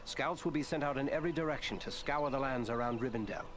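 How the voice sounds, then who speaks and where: A man's voice: medium pitch, fast, natural voice. An actor playing a serious, noble counsellor in a fantasy game, speaking formally and earnestly as he explains. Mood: earnest, briefing. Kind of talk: dramatic fantasy game acting.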